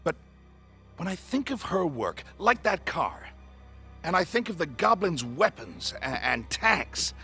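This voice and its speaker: An actor playing an earnest, wistful middle-aged inventor who still grieves a lost love in a video game. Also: male voice, fairly high pitch, sing-song, measured pace, vocal fry, bright, forceful tone, soft background music, exaggerated voice. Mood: hesitant, earnest. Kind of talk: cinematic video game dialogue, emotive storytelling.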